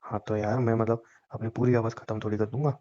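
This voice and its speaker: A man's voice: on the low side, level tone, quick pace, dark tone. Young man teasing on a dull phone line. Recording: dull lo-fi phone call recording.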